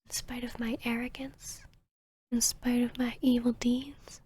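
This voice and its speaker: A woman voicing an arrogant, seductive vampiress hypnotist in a whispered ASMR roleplay, with a breathy, smug, teasing delivery. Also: fairly high pitch, level tone, a little mellow. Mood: amused, condescending. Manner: slow, smug, teasing, deliberate.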